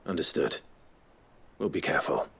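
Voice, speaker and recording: An actor playing a grave, courteous, battle-worn swordsman in a video game, measured and gravelly. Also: a bit bright, slight background noise, natural voice. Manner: measured, polite. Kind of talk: dramatic video game acting. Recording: music under the voice.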